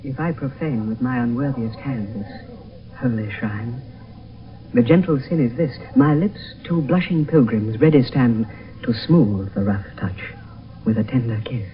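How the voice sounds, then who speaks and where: A man playing an earnest, lovestruck young gentleman, speaking lyrical verse in a 1970s radio play. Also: mid-range voice, unhurried, slight background noise, close to an everyday voice. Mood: tender. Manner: lyrical, poetic. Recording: muffled distant radio broadcast, music behind.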